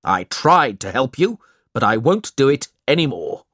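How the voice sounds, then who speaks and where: A man's voice: lowish voice, big pitch swings, unhurried, a bit bright, husky, dry recording, studio quality, exaggerated voice. A man voicing a stern, cold older uncle in a storybook, accusing and commanding. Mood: angry.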